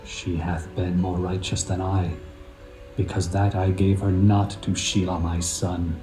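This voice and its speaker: An actor playing a mature, solemn and commanding biblical patriarch in a dramatised scripture reading, speaking in measured archaic phrases. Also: male voice, sits low, level tone, mellow, low-quality recording. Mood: stern, sober. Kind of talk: dramatised King James Bible reading. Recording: music behind the voice.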